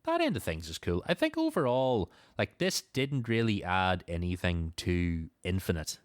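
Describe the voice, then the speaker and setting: A man's voice: deep voice, very animated, normal speed, clear, resonant tone, no echo, clean studio sound. A man hosting a podcast in a casual, conversational style. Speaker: podcast host.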